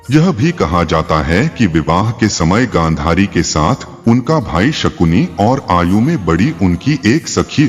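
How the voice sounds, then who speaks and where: Deep-voiced male storyteller with long reverb. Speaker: narrator. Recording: long reverb, faint music behind. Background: faint background music.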